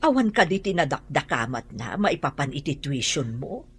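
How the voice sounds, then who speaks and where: A woman's voice: low-pitched, very animated, normal speed, breathy edge, heavily acted. A woman with a Filipino accent playing a concerned mother in an Ilocano radio drama. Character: concerned mother questioning her child.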